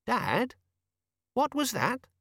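A man's voice: very high-pitched, sing-song, drawn-out words, bright, forceful tone, husky, dry recording, studio quality, voice pushed up, heavily acted. A man voicing a fisherman's young son, reasonable and mildly cheeky, with a plaintive questioning manner, in an audiobook. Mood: puzzled.

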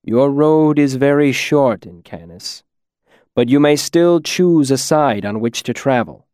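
A man voicing a stern, pitiless lord in a fantasy tale, speaking slowly and deliberately, with command. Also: mid-range voice, lively intonation, darkish tone, dry recording, clean studio sound, close to an everyday voice. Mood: pitiless warning. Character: stern, pitiless lord, captor and judge of a demon.

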